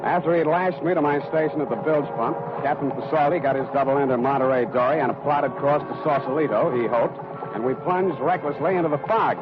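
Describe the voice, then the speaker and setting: An actor playing a hard-boiled, wry private detective in a 1940s radio drama, clipped and tough. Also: male voice, a little high, fairly quick, highs cut off, over music, natural voice. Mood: wry, hurried. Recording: band-limited 1940s radio recording.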